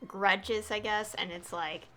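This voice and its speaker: A woman's voice: mid-range voice, steady tone, slow pace, sharp tone. A woman game streamer giving casual livestream commentary, hesitant and thinking aloud as she goes. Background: game music.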